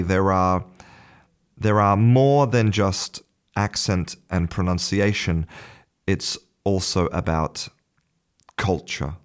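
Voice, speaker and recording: A man teaching an English lesson, explaining things in a measured way and often repeating words as he searches for the next one. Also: lowish voice.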